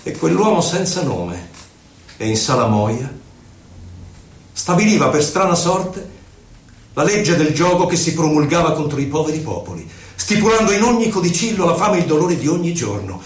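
Exiled poet senator, solemn indignant radio recitation, highish male voice, lots of room echo. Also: sing-song. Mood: bitter, scornful. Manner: declamatory, deliberate, dramatic pauses. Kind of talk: theatrical poetry recitation for radio. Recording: roomy echo, distant from microphone.

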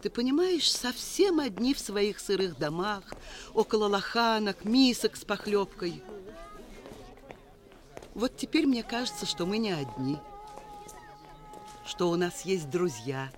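An actress playing a spirited, plain-spoken village wife in a 1960s radio drama. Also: female voice, medium pitch, lively intonation, measured pace, pauses often, lightly acted. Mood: wistful.

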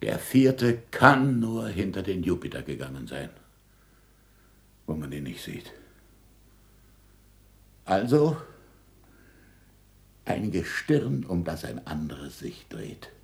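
A middle-aged scholar of the stars in a radio play. His voice is very low and badly husky, and it swings widely in pitch. He speaks with impatient passion and theatrical emphasis. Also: big pitch swings, takes it slowly, pauses often, full character voice. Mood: intent, wondering. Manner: emphatic stage diction, swinging from murmurs to shouts. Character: passionate, impatient middle-aged astronomer, hungry for proof and food. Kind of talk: 1960s German radio drama acting.

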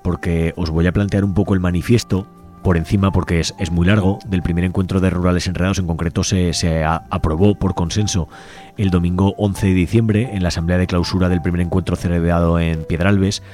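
A man presenting a community radio show, reading out a manifesto and describing a project in a measured, slightly halting way. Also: low-pitched, flat delivery, a little mellow, soft background music. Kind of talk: community radio presentation, partly read aloud.

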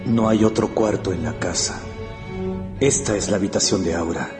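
A man's voice: sits low, normal speed, close to an everyday voice. An actor playing an infatuated, brooding young man who thinks aloud intensely in a radio drama. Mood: hopeful longing. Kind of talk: radio drama acting, interior monologue. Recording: music bed under the voice.

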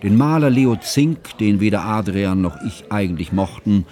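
A man playing an earnest, fussy scholarly friend, narrating a literary radio drama in a measured, formal way. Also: fairly low pitch, expressive, warm tone, lightly acted.

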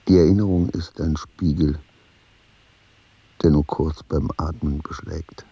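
An actor playing a weary dying man in a radio play, musing haltingly and gravely, sometimes sinking into a breathy whisper. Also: extremely low pitch, fairly even intonation, dark tone, dry recording, highs cut off, heavily acted. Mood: brooding, resigned. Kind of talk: literary radio play monologue.

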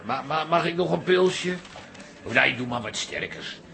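A man's voice: fairly high pitch, lively intonation, a little slow, creaky, bright, forceful tone, slight background noise, heavily acted. A man playing a hapless, chummy pub regular in a Dutch radio comedy, rambling along in an earnest, stumbling way. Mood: glum. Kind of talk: Dutch radio play acting.

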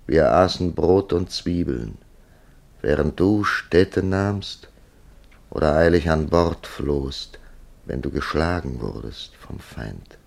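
An actor playing a thoughtful writer who narrates in a radio drama, with a gravelly voice and a measured, deliberate delivery. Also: male voice, a bass voice, a little mellow, full character voice. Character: thoughtful male writer narrating his own puzzling encounter. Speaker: radio play lead and narrator. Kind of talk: German radio drama acting.

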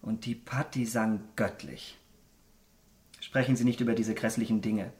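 An actor playing a vain, self-absorbed young gentleman in a German radio drama, speaking eloquently and theatrically. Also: fairly low pitch, steady tone, measured pace, lightly acted. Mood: light, evasive. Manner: theatrical, eloquent, declaiming aphorisms.

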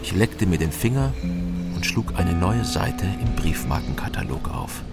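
An actor playing a sober, watchful East German investigator in a radio crime drama, terse, measured and matter-of-fact. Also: male voice, deep voice, lively intonation, over loud music. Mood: detached, observant. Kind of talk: radio crime drama, dry first-person narration and dialogue.

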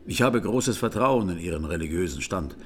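An actor playing a grave Armenian clergyman who testifies in court in a solemn, deliberate way, in a radio drama. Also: sits low, lively intonation, a little hiss or hum.

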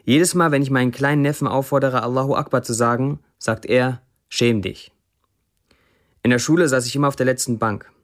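An actor playing a sardonic Syrian blacksmith who writes Facebook posts, delivered as a literary monologue in a radio play. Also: male voice, medium pitch, fairly quick, lightly acted. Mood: wry.

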